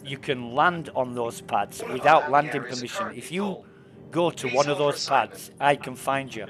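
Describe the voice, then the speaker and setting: A man's voice: medium pitch, moderate pace, a crowd in the background. A man from England with a Yorkshire accent, streaming a space game and patiently talking a friend through where to land, step by step. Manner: patient, explains directions step by step. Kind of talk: casual gaming chat with friends.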